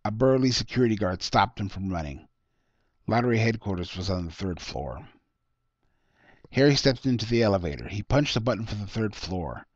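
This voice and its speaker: A man with a low voice narrates fiction from a script, as an author reading his own work. The recording is dry and somewhat dull, with no room sound. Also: expressive, moderate pace, no echo.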